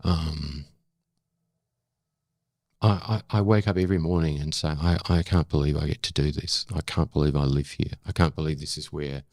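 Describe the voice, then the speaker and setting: An Australian man of seventy talking reflectively in an interview, in an unhurried, halting storytelling way with emphatic repetition. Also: a bass voice, steady tone, a little mellow, no echo, studio quality. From South Australia. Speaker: interview guest, former broadcaster.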